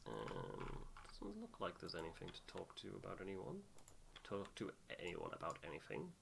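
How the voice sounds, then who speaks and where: A man's voice: low-pitched, huge pitch swings, normal speed, gravelly, noisy background. A man giving casual game commentary as he plays, muttering half to himself. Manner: muttering to himself while playing. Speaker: gamer, playthrough host.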